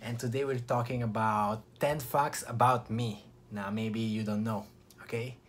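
Relaxed young man with an Italian accent. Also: mid-range voice. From Italy. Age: young adult. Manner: relaxed, chatty, with frequent restarts and short pauses. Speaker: vlogger. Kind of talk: casual talk to camera.

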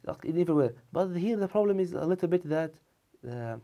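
A man in his twenties with an Arabic accent, answering interview questions in halting, repetitive non-native English. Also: a little high, lively intonation, warm tone. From Saudi Arabia, of Tunisian family. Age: 20s. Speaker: interview guest, medical student. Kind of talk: conversational TV interview. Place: dark TV studio, indoors. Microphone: lapel mic.

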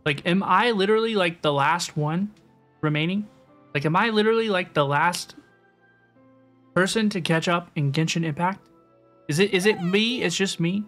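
A woman's voice: fairly low pitch, normal speed, fairly bright tone. A woman livestreaming a video game, chatting casually to viewers and often repeating her phrases. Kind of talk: casual livestream chat over gameplay. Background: game music.

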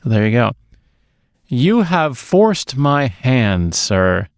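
A man in his thirties or forties gives casual film opinions as a podcast co-host. He has a mid-pitched voice, captured close and dry in a small studio. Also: very animated, takes it slowly, clean tone, dry recording. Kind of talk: casual film review chat. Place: small dark home studio. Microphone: close podcast microphone on a boom arm.

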